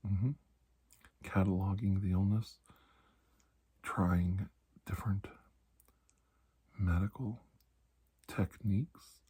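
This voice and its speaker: A low, mellow male doctor, played by a man in an ASMR roleplay, calm and reassuring. He speaks slowly and deliberately in a soft, breathy voice that often drops toward a whisper, soothing a patient. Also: exaggerated voice. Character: calm, reassuring male doctor treating alien skin disease.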